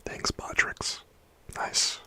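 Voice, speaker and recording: A man chatting with his livestream viewers in a soft whisper, rambling and chuckling as he goes. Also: drawn-out words.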